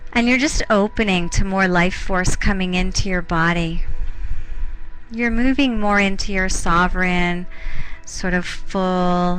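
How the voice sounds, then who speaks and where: A woman leading a guided meditation as a teacher, speaking in soothing, unhurried short phrases with pauses. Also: medium pitch, sharp tone, a little hiss or hum, rough recording. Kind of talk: guided meditation, softly instructing.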